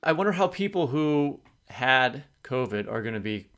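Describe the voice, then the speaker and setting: A man's voice: medium pitch, big pitch swings, normal speed, a bit bright. A man co-hosting an interview, posing a question in a casual, musing way. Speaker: podcast co-host interviewer.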